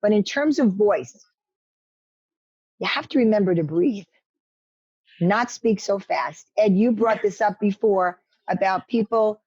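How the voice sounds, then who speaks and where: A woman's voice: mid-range voice, unhurried, pauses often, a little mellow, breathy edge, dry recording, highs cut off. A woman from New York with a New York accent, giving media-training advice to participants on a video-call talk show, coaching them by name. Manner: coaching, direct, addresses attendees by name. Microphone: headset on a video call.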